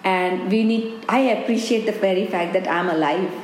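A businesswoman from India, with an Indian accent and a middle-pitched voice, answers an interviewer earnestly and thoughtfully. The room sounds roomy, with a faint hum of ambience behind her. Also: moderate pace, darkish tone. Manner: earnest, reflective, with frequent "you know". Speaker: business owner and guest.

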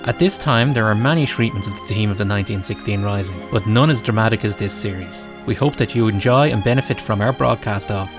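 A man voicing a formal radio announcer introducing a program. His voice sits fairly low, and clear music plays behind him. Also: fast talker, hardly any pauses, close to an everyday voice. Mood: announcing.

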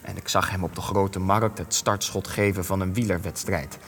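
An elderly war veteran in a radio drama, world-weary and evasive. The voice sits low, with formal, dryly ironic phrasing and some hesitation. It rings in a long hall with music underneath. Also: male voice, steady tone, moderate pace, fairly bright tone. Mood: bitter, reminiscing. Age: young adult. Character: old male resistance veteran, wry, evasive, world-weary. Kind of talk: Dutch-language radio play acting.

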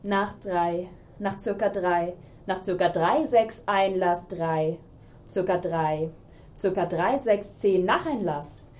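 Very slow-speaking woman, clipped phrases. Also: lowish voice, a little mellow. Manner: clipped, repetitive. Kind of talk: stage timing announcement.

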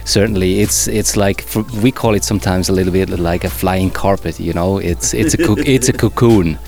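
A man's voice: sits low, normal speed, creaky, music in the background. A man who is a DJ and bar co-owner, speaking as a guest in a friendly and slightly hesitant way. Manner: friendly, hesitant, with fillers and restarts. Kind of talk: interview conversation.

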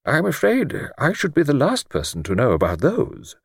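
A man voicing a mild, absent-minded, courteous old village vicar who speaks gently and hesitantly, repeating himself. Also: on the high side, huge pitch swings, moderate pace, gravelly, husky, no echo, clean studio sound, voice pushed up, full character voice. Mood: gentle, musing. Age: older.

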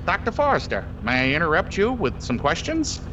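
A man's voice: a little high, huge pitch swings, fairly quick, dull audio, background noise. A man playing an earnest, florid field reporter in a 1930s radio drama spoof, with grandiloquent, formal delivery.

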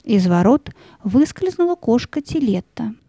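A woman's voice: fairly high pitch, big pitch swings, measured pace, warm tone, slightly rough, no echo, clean studio sound. A woman narrating a fairy tale in a scripted storytelling style.

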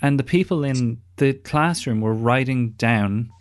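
A man's voice: mid-range voice, measured pace. A man hosting a podcast interview in a wry, discursive, conversational way, with some casual swearing. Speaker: podcast host.

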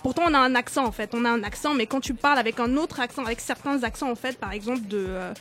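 A woman's voice: fairly high pitch, quick pace, fairly bright tone. A woman from Cameroon speaking French with Cameroonian traces, talking animatedly in a casual panel discussion and sometimes switching accents on purpose. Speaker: podcast co-host.

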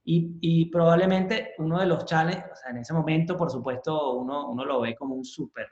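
A man from Caracas with a Venezuelan accent, telling his story in a casual interview, haltingly, repeating words and slipping in English ones. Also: a little high, darkish tone. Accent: Venezuelan Spanish. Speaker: interview guest, business professional. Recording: roomy echo, slightly dull lo-fi sound.

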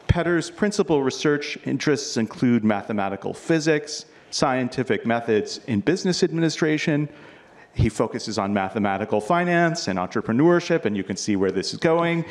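A man giving a formal, polished introduction of speakers as host of a live event. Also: mid-range voice. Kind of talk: formal introduction, partly read.

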